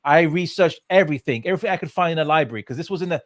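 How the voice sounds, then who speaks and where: A man's voice: on the high side, very fast, no room sound, clean studio sound. A man from England, telling his story as an interview guest in a casual way, with many fillers and restarts. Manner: hesitant, many fillers and restarts, laughs often.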